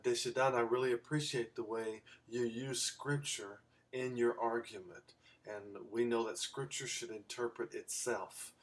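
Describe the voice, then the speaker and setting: A man raised in Belgium, giving an informal explanatory lecture in a halting, deliberate way with long pauses. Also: mid-range voice, steady tone, recorded from a distance. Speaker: amateur Bible scholar giving a video response.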